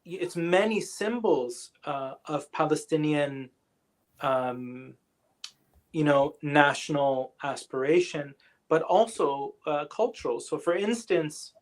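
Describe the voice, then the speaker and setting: A man answering audience questions in an online lecture, speaking thoughtfully with many fillers, pauses and restarts. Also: fairly high pitch, rough recording. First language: English. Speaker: guest lecturer.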